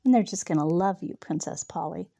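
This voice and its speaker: A woman's voice: on the low side, fairly quick, vocal fry, mellow, dry recording. A woman voicing a bossy, mocking young woman who leads the others, teasing and commanding.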